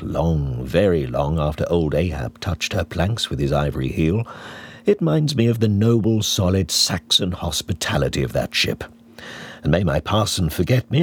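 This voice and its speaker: A man reading literary narration as an audiobook, in a deliberate, theatrical storytelling manner with varied emphasis. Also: deep voice, husky, no room sound. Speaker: audiobook reader.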